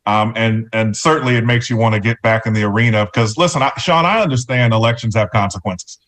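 A man's voice: fairly low pitch, fast talker, fairly bright tone. A man speaking as a guest on talk radio, giving conversational political commentary. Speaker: radio show guest, former political candidate.

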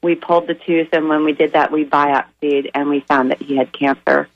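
A hoarse woman with a raspy, slightly crackly voice, telling a story earnestly with many pauses as a radio guest. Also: low-pitched, fairly even intonation, dull audio. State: hoarse from a weekend of events. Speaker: guest, animal charity founder. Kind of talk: radio interview.

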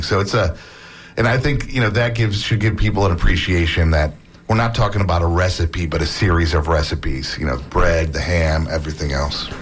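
A man talking casually as a guest author on an interview show, relaxed and chatty, with many fillers and restarts. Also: low-pitched. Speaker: book author, academic.

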